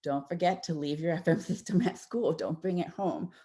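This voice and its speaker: Woman audiologist, thirties, low mellow voice, easygoing slide-talk delivery with fillers and restarts. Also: normal speed. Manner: conversational, warm explanations with frequent fillers and restarts. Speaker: audiologist presenting to parents. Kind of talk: informal presentation with slides.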